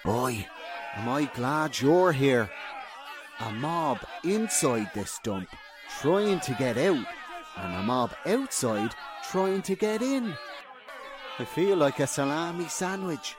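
An actor playing a slangy, good-natured beat cop in a radio crime drama, with a blunt, barking way of talking. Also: male voice, mid-range voice, big pitch swings, slow pace. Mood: relieved, chummy. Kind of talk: old-time radio crime drama acting. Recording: crowd murmur behind the voice.

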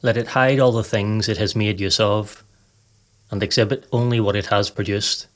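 A man hosting a podcast, reading a scripted guided meditation in a calm, measured, instructive way. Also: lowish voice.

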